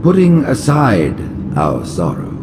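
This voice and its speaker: A man voicing an ancient, scheming alien high priest with a gravelly, theatrical, manipulative delivery in a video game cutscene. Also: huge pitch swings, slow pace, background noise, exaggerated voice. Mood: ceremonial. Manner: sly, theatrical, swooping delivery.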